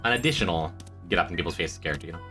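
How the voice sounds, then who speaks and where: A man's voice: lively intonation, rapid-fire, bright, forceful tone, faint music behind. Stuffed-up man chatting over gameplay. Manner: rambling, self-mocking, breaks into high squeaky jokes. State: congested, stuffed-up voice. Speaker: streamer. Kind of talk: casual gameplay commentary to chat.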